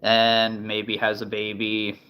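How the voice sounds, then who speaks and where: A man's voice: on the low side, completely flat delivery, slow, clear, resonant tone, lo-fi sound. A man in his thirties chatting casually to the camera, thinking aloud with many ums and restarts. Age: 30s. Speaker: vlogger.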